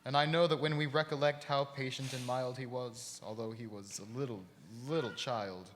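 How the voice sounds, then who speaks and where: An actor playing a gentle, grieving father and husband in live radio theater, speaking tenderly and with measure. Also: mid-range voice, steady tone, normal speed, natural voice. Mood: gentle, wistful. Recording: reverberant hall.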